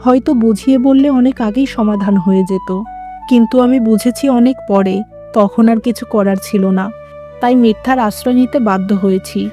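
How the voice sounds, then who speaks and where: A woman's voice: fairly high pitch, fairly quick, warm tone, faint music behind, lightly acted. A woman voicing a stern older mother-in-law with a firm, admonishing manner in a cartoon. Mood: regretful. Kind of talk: Bengali moral-story cartoon dubbing.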